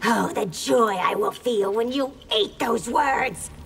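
A woman's voice: on the high side, slow pace, gravelly, fairly bright tone, faint music behind, exaggerated voice. An actress voicing a fierce, taunting masked female assassin.

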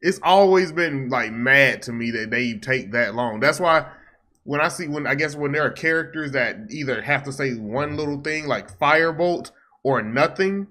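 Bright-voiced young man, slangy reaction commentary. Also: mid-range voice, moderate pace. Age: young adult. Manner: animated, slangy, teasing. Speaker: reaction video host. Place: small home room. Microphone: close desk microphone.

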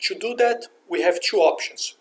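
A man narrating a software demonstration in a step-by-step, instructional way. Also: high voice, normal speed. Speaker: software demo presenter. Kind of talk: scripted software tutorial narration. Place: screen recording voice-over.

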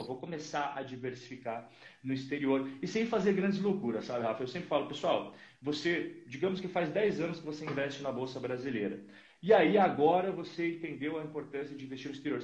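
Brazilian guy in his 30s, guest analyst, lively storyteller, slightly high voice, echoey lo-fi call audio. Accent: Brazilian Portuguese. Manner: animated storytelling, acting out dialogues. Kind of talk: casual podcast interview. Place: small room with purple lighting. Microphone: headset over video call.

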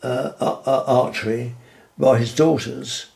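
Slow, gravelly elderly Englishman in a roomy space. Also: medium pitch, fairly even intonation, darkish tone. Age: 80s or older. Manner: halting, measured, formal courteous phrasing. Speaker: collector showing his archery collection. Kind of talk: informal guided tour commentary. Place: wood-floored indoor collection room. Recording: roomy indoor echo.

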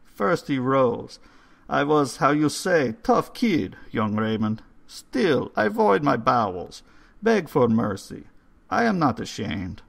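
A man voicing an old undertaker who speaks in a formal, slow and ominous way. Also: medium pitch, very animated, gravelly, pitched above the performer's own voice, full character voice. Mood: haunted. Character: old Hungarian male undertaker, courtly and eerie.

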